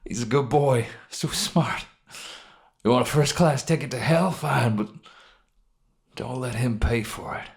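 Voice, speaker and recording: A man voicing a wounded, guilt-ridden robber in a dramatic story, bitter and grieving, with hoarse, folksy, sweary speech. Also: mid-range voice, unhurried, pauses often, pitched above the performer's own voice. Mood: rueful, grieving. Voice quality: hoarse, breathy at times. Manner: rough folksy talk, swearing, sighing, talking to a dead partner. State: pained, worn out.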